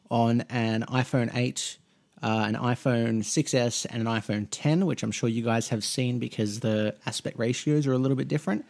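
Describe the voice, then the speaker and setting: A man's voice: mid-range voice, darkish tone. Easygoing Australian man in his twenties. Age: 20s. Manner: rambling, with frequent restarts and fillers; easygoing, chatty. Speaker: mobile gaming YouTuber. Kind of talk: casual Q&A vlog.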